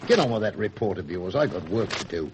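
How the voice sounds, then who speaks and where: A man playing an older, avuncular British police sergeant in a vintage radio drama, with a gruff, colloquial storytelling manner. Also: fairly low pitch, big pitch swings, fairly quick. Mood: gruff, dismissive. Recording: noisy old radio recording.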